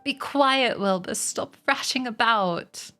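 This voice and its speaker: Woman doing a gentle lady spider, soft and steady, storybook style. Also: fairly high pitch, slow, faint music behind, voice pushed up. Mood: firm. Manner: soft and composed. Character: calm, dying female spider, gentle and firm. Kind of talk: storybook read aloud.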